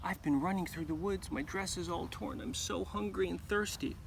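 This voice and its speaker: Male storyteller as fairytale little girl, raised pitch, picky, anxious, exclaiming, clearly noisy live recording. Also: normal speed. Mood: weary pleading. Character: young girl, picky, anxious, searching for her brother.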